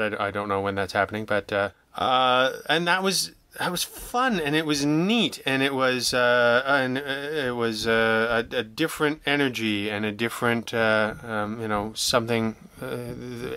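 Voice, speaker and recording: A man in a casual interview, talking haltingly and self-deprecatingly as he acts out imagined remarks. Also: medium pitch, pushed and bright, clean tone, dry recording. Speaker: guest, audio drama writer and producer.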